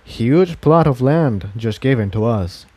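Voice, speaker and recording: A man voicing a kind, steady male pony and loyal friend in a storybook reading, sincere, gentle but firm. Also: medium pitch, slow pace. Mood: warm, consoling.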